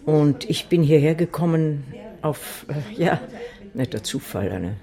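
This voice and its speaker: An actress playing a lost, retired woman in an improvised radio drama, speaking in halting, deadpan, laconic replies. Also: sits low, crowd noise. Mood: flat, forlorn. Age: older.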